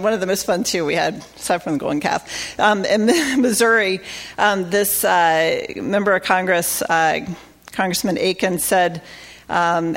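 Chatty woman giving a conference talk. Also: on the low side. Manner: conversational, halting with many fillers. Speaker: faith-based advocacy organizer.

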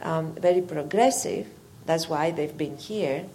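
A woman's voice: sits low, unhurried, dark tone. A woman from Greece with a Greek accent, a university faculty member commenting in careful, hesitant phrases at a public discussion.

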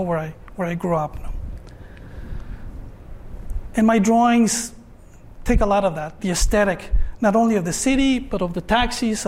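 A man in his fifties with a Mexican accent talks about his work on stage. His voice sits high for a man. He pauses often and tags many of his phrases with a questioning "no?". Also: a little mellow. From Mexico, Tijuana. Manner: hesitant, filler-heavy, frequent tag "no?". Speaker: artist guest. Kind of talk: conversational talk on stage.

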